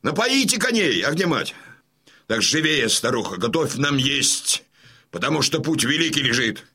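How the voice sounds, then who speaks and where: An actor playing a gruff, imperious old Cossack colonel and father in an audio drama, with a husky voice and a bluff, emphatic delivery. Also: mid-range voice, big pitch swings, normal speed, sharp tone, heavily acted. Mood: brisk, commanding. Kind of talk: Russian audio drama acting.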